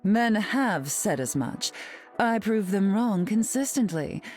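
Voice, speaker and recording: A haughty society queen from a video game. She is arch and imperious, with a mid-pitched voice that swings elegantly through its range. Soft music plays behind her sardonic lines. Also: lively intonation, slow pace. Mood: imperious, cool. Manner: imperious, arch, drawling endearments. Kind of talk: video game character dialogue.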